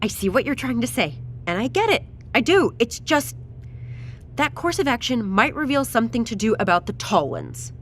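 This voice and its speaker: Exasperated female doctor, lively swooping pitch, insistent questioning, audio drama, faint background rumble. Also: normal speed. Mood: tense, arguing. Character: blunt, anxious female doctor in a post-apocalyptic crisis.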